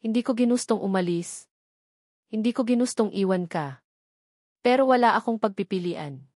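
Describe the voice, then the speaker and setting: A woman voicing an anguished, fearful young wife in an audio drama, halting and repeating her words. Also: mid-range voice, lively intonation, a little mellow, dry recording, clean studio sound, natural voice. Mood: pained, hesitant. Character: anguished, fearful young wife, a former housemaid, self-sacrificing.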